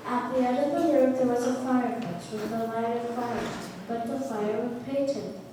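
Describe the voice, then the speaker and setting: A woman's voice: a little high, fairly even intonation, moderate pace. A woman narrating a storybook tale aloud for a puppet show in a big, echoey room. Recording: very echoey hall, far mic, faint noise.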